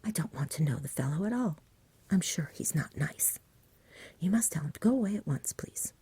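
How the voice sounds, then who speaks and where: A woman's voice: deep voice, animated, normal speed, dark tone, husky, dry recording, heavily acted. A woman voicing a lazy, fussy, polite dragon in a whispered ASMR storybook reading. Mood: plaintive, fussy. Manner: languid, polite, mildly plaintive. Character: lazy, genteel, fussy dragon who refuses to fight.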